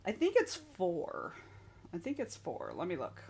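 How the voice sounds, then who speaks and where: A woman's voice: fairly low pitch, wildly swooping pitch, measured pace, a little mellow, slight background noise. A young adult woman hosting a writing stream, chatting casually and reading out viewer comments, rambling and frank, with some swearing.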